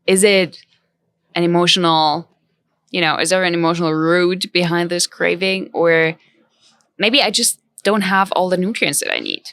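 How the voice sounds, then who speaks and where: A woman's voice: on the low side, steady tone, moderate pace, a bit bright, clean tone, no echo. A woman hosting a podcast interview, enthusiastic and affirming toward her guest. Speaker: podcast host. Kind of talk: casual interview chat.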